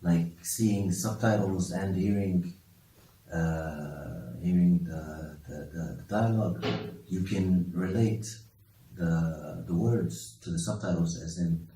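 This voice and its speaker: A man's voice: low voice, fairly even intonation, slow, warm tone. A young man whose first language is Arabic, answering interview questions in a casual, hesitant way with many restarts. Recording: echoey room from a distance.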